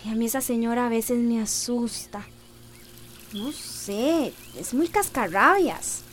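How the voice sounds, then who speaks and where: An actress playing a curious, guileless young household helper in a radio drama, with a Central American accent. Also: female voice, a little high, expressive, takes it slowly, a little hiss or hum. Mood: curious, gossipy. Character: curious, chatty, guileless young woman helping in the kitchen.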